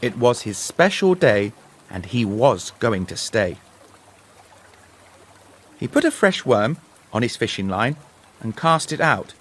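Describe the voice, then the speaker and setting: A man reading a children's story in a measured, deliberate, dramatic way. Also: medium pitch, a bit bright. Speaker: story narrator. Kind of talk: expressive scripted storybook narration for learners.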